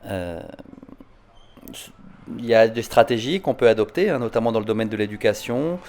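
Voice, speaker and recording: A man speaking as a psychanalyst at an online conference, explaining in a hesitant, halting way, often repeating words. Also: fairly low pitch, expressive, darkish tone. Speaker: psychoanalyst answering conference questions.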